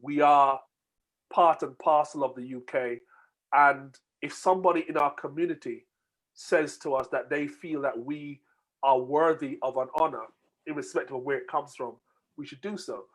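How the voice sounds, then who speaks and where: A man's voice: a little high, moderate pace, low-quality recording. A man hosting an online group discussion over a video call, talking in a conversational, moderating way. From United Kingdom. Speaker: host.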